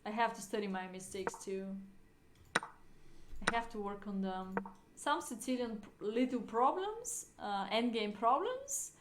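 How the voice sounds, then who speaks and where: Higher-voiced woman streamer in a noisy, echoey room. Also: expressive, measured pace. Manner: non-native English phrasing, simple short phrases. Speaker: chess player, streamer. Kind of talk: casual livestream chat, thinking aloud. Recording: roomy, noisy mic with keyboard clicks.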